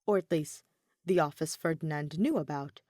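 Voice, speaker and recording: A woman's voice: deep voice, moderate pace, a little mellow, no room sound, studio quality. A woman reading scripted fiction as an audiobook narrator.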